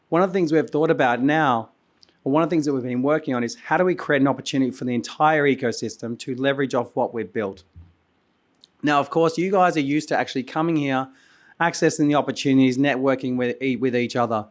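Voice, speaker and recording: A man with an Australian accent, hosting a conference and giving a keynote speech while losing his voice, coughing now and then, energetic and restarting phrases. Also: medium pitch, brisk. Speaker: conference host. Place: conference hall stage.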